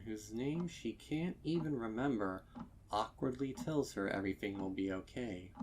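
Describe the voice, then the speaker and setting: Very slow, deliberate male dark-story narrator. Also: lowish voice, slight background noise. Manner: measured, deliberate pauses. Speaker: fan fiction narrator.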